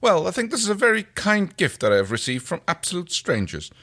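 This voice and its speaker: Man putting on a gentle, high-pitched alien pilot. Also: sing-song, quick pace, heavily acted. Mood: touched, polite. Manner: polite, precise, formal wording. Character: gentle, formal, earnest alien ship pilot creature.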